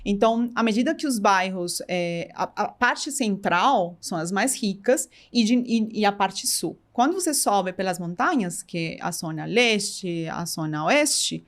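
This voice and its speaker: A woman's voice: mid-range voice, lively intonation, measured pace, hardly any pauses. A middle-aged woman from Colombia with a strong Colombian Spanish accent, speaking Portuguese with Spanish mixed in, as an interview guest who often restarts her sentences. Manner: thoughtful, many restarts, mixes Spanish into Portuguese. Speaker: guest, company co-founder. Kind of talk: casual interview talk.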